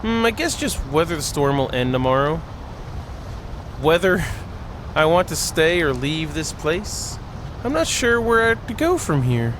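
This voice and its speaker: A man voicing an anxious, sleepy young girl in a visual novel, wistful and halting in speech. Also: a little high, big pitch swings, background noise. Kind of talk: visual novel character read aloud.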